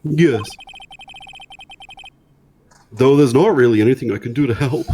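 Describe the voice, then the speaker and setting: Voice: medium pitch, fast, warm tone, a little hiss or hum, lightly acted. A streamer playing a timid, sad male murder suspect in an amateur live game dub, speaking hesitantly. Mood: meek, hesitant. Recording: music playing behind the voice.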